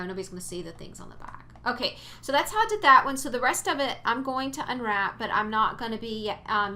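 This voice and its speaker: Chatty, bright-voiced woman tutor. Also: a little high. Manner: chatty, rambling, with fillers and cute exclamations. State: sniffly from allergies. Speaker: 3D modeling tutor. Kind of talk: casual screen-recorded tutorial.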